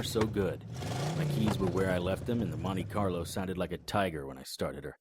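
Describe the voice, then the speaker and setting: A man with a very low, husky voice narrates a first-person noir horror tale. He tells even gory events in a grim, matter-of-fact way. The recording is close and dry, with no room sound. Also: no echo. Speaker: narrator and lead character.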